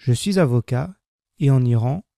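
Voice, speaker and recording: A man reading a first-person French text slowly and clearly for learners, repeating each sentence. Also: medium pitch, lively intonation, dark tone, dry recording, studio quality. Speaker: French language teacher. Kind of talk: slow scripted reading for learners.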